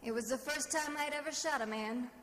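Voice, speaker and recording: High-voiced, hard-boiled lady detective. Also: a little fast, a bit bright, slight background noise. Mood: grim. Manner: hard-boiled, wry, dramatic. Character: tough, wry young female private detective narrating her case. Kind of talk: old-time radio detective drama narration. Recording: radio drama with music behind.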